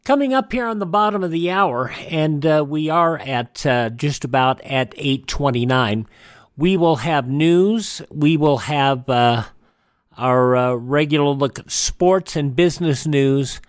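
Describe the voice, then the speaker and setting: Casting: male local radio morning host, slightly high voice, unhurried and measured, dry close studio sound. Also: clear, resonant tone, no echo. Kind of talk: live local radio announcing.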